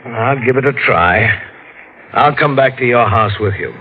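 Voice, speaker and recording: A doubtful police detective, dry and matter-of-fact, lively intonation, muffled vintage radio recording. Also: male voice, mid-range voice, a little slow, breathy edge. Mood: skeptical. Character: skeptical police detective, blunt and patient. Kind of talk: 1940s radio acting.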